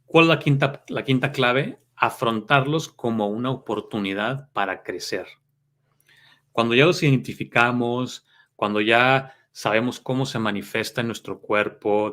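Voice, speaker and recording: A man's voice: mid-range voice, fairly bright tone. A man with a Mexican accent giving a motivational self-help talk as a life coach, earnest and explanatory.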